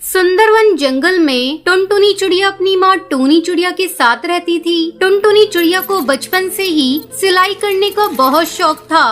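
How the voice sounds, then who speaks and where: A woman's voice: very high voice, moderate pace, few pauses, a bit bright. A woman narrating a scripted children's story as a cartoon narrator.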